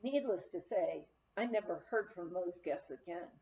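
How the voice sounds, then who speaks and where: A woman reading a memoir aloud as an audiobook narrator, telling it in an expressive storytelling manner. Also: fairly low pitch, moderate pace, muffled recording. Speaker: audiobook reader.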